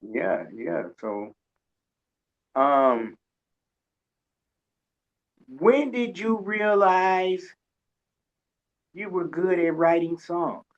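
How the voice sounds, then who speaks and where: A woman co-hosting an interview with casual, animated storytelling, on a dull lo-fi recording. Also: on the low side, drawn-out words, pauses often. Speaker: interviewer, co-host. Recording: dull lo-fi sound.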